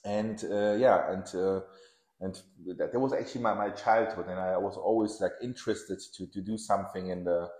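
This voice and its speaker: Low-voiced man born in Iran. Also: normal speed, echoey room. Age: 40s. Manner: relaxed, restarts often, laughs while telling stories. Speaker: podcast guest, lawyer. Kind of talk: casual interview.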